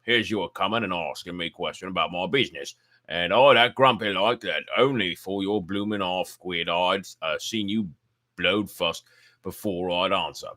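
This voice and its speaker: A man voicing a gruff, blunt elderly male zookeeper, loud and hearty and stumbling over dialect words. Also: deep voice, moderate pace. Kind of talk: amateur audiobook reading.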